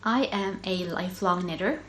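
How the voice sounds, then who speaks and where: Finland-Swedish woman chatting softly, low-pitched. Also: normal speed, a little hiss or hum. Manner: chatty, self-interrupting, soft laughs, frequent ums. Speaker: knitting podcast host. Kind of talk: casual solo video podcast.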